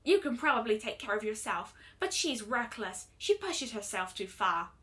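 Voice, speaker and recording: A woman acts out a stubborn, protective young female pegasus in a story reading. The voice is high and forceful, with big swings in pitch. The delivery is blunt, slangy and emphatic. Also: sing-song, normal speed. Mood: earnest, frustrated.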